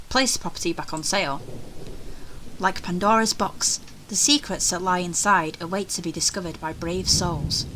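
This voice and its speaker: A woman's voice: medium pitch, pushed and bright, slight background noise, low-quality recording. A woman hosting a gaming video, reading story text aloud and dropping in casual reactions over game music.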